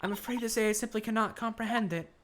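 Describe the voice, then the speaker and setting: Streamer doing an excitable young lawyer. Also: mid-range voice, quick pace, slight background noise, voice pushed up, lightly acted. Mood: indignant, shocked. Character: earnest young male lawyer, easily flustered. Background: game music.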